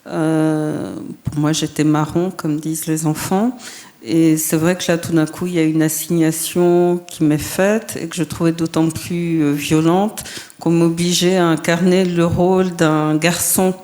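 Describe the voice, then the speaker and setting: A woman from France, a filmmaker and writer, answering a panel's questions in a thoughtful, deliberate way with frequent hesitations. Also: low-pitched, fairly even intonation, warm tone.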